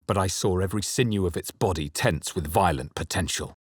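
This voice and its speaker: A man voicing a wry, watchful fantasy adventurer in a video game, with a measured, dramatic delivery. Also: sits low, husky, no echo, clean studio sound. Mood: grave, dramatic. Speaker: player character voice in a video game. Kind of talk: scripted game narration, barks and spell incantations.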